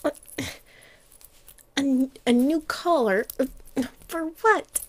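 A woman voicing a playful, clingy young catgirl girlfriend in an ASMR roleplay, affectionate and cooing, close to the mic. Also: high voice. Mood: puzzled, reluctant. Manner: soft, affectionate, slow with long pauses, cooing.

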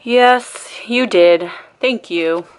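A woman's voice: mid-range voice, drawn-out words, smooth, voice pushed up. A woman reading the part of a patient, plain-spoken critter father in a storybook. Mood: grateful.

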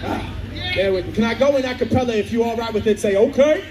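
A man's voice: very high voice, fast, reverberant, background noise. A man fronting a band at an outdoor festival, rapping and chatting informally to the crowd through the PA. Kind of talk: live stage banter and rapping. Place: outdoor festival stage at night. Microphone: handheld mic through PA, filmed from audience. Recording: loud live band, festival phone recording.

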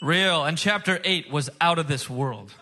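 A man's voice: fairly high pitch, unhurried, sharp tone. A man hosting a comedy game show on stage, with a showy, emphatic announcer delivery. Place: stage before a live audience.

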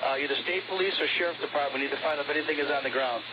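Male American controller, urgent radio calls, rapid pace, muffled noisy channel. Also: on the high side. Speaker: air traffic controller. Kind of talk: urgent operational radio communication.

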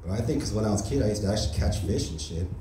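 Young American male stand-up, low voice, deadpan and casual, in a noisy small club with a distant mic. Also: racing. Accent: General American. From United States. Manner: deadpan, casual, leans on "right?" and "you know?". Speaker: stand-up comedian. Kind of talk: stand-up comedy set. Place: small comedy club stage, indoors. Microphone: handheld mic on stand. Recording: roomy club sound from a far camera.

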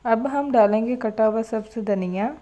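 A woman calmly narrating a home cooking recipe step by step as host of her cooking channel. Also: on the high side, normal speed.

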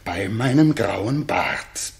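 An actor playing a dignified, probing grey-bearded stranger in disguise in a 1960s German radio play. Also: male voice, on the low side, expressive, slow pace, vocal fry, full character voice. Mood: stern, probing.

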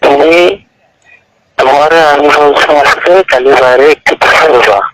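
A man's voice: medium pitch, steady tone, moderate pace, a bit bright. A man with a Bangladeshi accent talking privately on the phone, insistent and repeating himself to press his point. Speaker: teacher. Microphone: telephone. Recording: noisy phone call recording with clicks.

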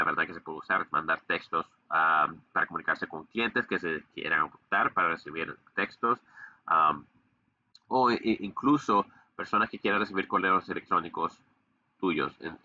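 Low-voiced man giving a casual screen-share tutorial. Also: bright, forceful tone, dry recording, lo-fi sound. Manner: casual, many fillers and restarts. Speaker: digital marketing agency owner. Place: indoor room, webcam in corner of screen recording.

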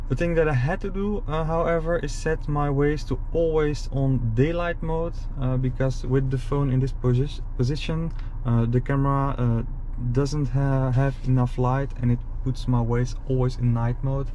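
Dutch-accented man with a mellow voice. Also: mid-range voice. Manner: hesitant, many ums and uhs. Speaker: car owner demonstrating his infotainment system. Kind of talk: casual how-to demonstration. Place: inside a car. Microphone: camera mounted in the car. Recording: slightly muffled in-car recording with faint hiss.

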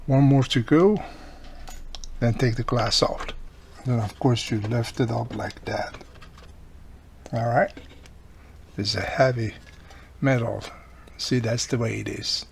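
A man at his workbench walks the viewer through a repair, patient and methodical, his phrasing a little non-native. His voice sits at a middle pitch. A faint hum runs under the overhead mic. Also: steady tone, slow, creaky, pauses often. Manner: patient, step-by-step explaining. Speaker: radio repair technician. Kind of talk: hands-on repair tutorial. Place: workbench, indoors.

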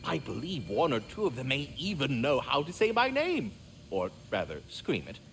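A man's voice: medium pitch, wildly swooping pitch, moderate pace, a bit bright, a little hiss or hum. A man voicing a polite magical squirrel in a video game, with formal, florid, theatrical diction. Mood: fond, wry.

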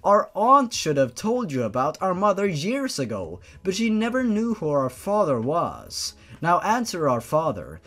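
A man's voice: fairly high pitch, huge pitch swings, a little slow, few pauses, fairly bright tone, voice pushed up, exaggerated voice. A man voicing a commanding sister in a story reading, firm and emphatic. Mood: insistent.

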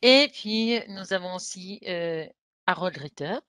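A woman's voice: on the low side, animated, takes it slowly, a bit bright, clear, resonant tone, no room sound, clean studio sound. A woman in her thirties hosting an informal video call panel and presenting the guests. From lives in Mexico. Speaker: host.

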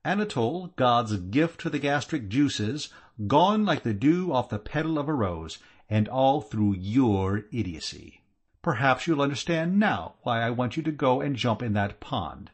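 A man voicing an irate, sharp-tongued aristocratic aunt in an audiobook, scolding with scathing exasperation. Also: mid-range voice, sing-song, a little slow, slightly rough, voice pushed up. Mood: indignant. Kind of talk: audiobook character voice.

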